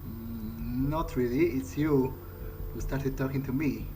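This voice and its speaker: A man's voice: medium pitch, slow pace. A man improvising in character during a game playthrough, hesitant, thinking aloud and repeating words. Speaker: gameplay host, role-playing his character. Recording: clear background noise.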